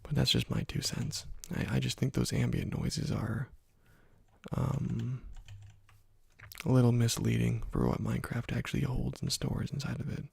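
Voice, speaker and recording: Hushed, husky, crackly ASMR man. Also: medium pitch, flat delivery, normal speed, dry recording. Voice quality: hushed, breathy, partly whispered. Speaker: gaming video host. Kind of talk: ASMR let's play commentary, soft-spoken. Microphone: close microphone.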